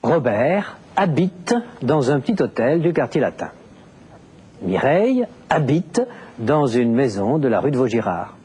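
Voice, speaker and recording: A man narrating a language lesson, explaining deliberately with very clear enunciation. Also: medium pitch, sing-song, dark tone. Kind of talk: scripted teaching narration.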